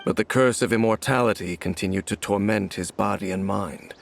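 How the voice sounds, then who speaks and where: An actor playing a grave, composed knightly guide in a video game, measured and solemn as he explains. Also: fairly low pitch, creaky. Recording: faint ambient noise behind.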